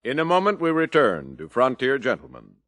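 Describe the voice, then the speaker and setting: A man reading a 1950s radio network promo in a smooth, jaunty announcer style. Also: mid-range voice, huge pitch swings, a little fast, loud music behind the voice.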